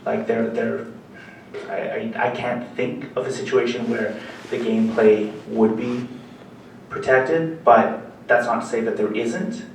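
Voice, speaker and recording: A man's voice: mid-range voice, unhurried. A man giving a live talk as a lawyer, joking with the audience and often restarting his sentences. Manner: joking, animated, many restarts and fillers. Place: meeting room with live audience. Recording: echoey hall, mic far off, faint noise.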